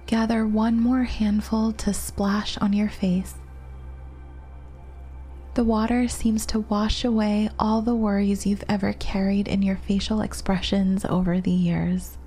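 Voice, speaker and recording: Slow, breathy woman guiding meditation. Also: mid-range voice, level tone. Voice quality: soft, breathy. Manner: soothing, gentle, unhurried with long pauses. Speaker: guided meditation narrator. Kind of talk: guided relaxation meditation, scripted narration. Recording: meditation music behind the voice.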